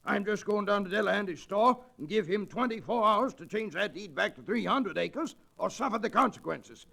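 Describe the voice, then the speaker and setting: Radio Western role: indignant old male rancher, high-pitched and gravelly, folksy Western American drawl, blustering and stubborn. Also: animated, normal speed, bright, forceful tone, exaggerated voice. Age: older. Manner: blustering, folksy frontier talk. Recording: restored vintage radio recording.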